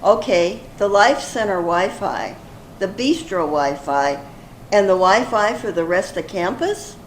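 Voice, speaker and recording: An older woman playing an earnest, excitable character in an amateur readers theater comedy, reading in a deliberate, expressive way. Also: medium pitch, natural voice. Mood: inquisitive. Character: earnest older woman, apologetic, increasingly exasperated in comic sketches. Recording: echoey room, faint background noise.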